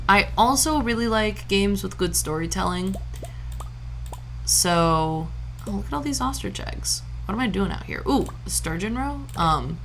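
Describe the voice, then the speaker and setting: A woman's voice: mid-range voice, fairly bright tone, a little hiss or hum. A woman from Brazil streaming a farming game, chatting casually and playfully, humming nonsense sound effects and tossing out jokey mock-old-fashioned lines. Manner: jokey mock-archaic asides.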